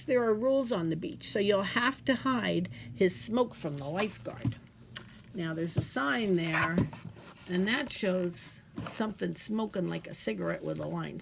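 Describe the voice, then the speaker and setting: A woman reading a children's picture book aloud as a storytime reader, expressive and playful, adding chatty asides and questions for the listeners. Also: fairly low pitch, vocal fry, slight background noise.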